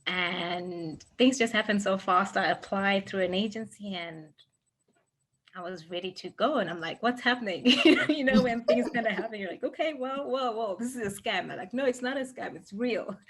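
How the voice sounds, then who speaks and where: A young woman from Zimbabwe, a guest telling her story in a relaxed, animated way and laughing easily. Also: medium pitch, normal speed. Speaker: interview guest. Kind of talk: casual storytelling.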